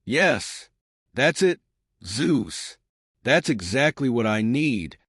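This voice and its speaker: A man's voice: mid-range voice, big pitch swings, slow, fairly bright tone, husky, no echo, clean studio sound, full character voice. A man voicing a cruel, obsessive villain who gloats with menace in an audiobook. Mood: excited, triumphant. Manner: menacing, triumphant. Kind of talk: audiobook character acting.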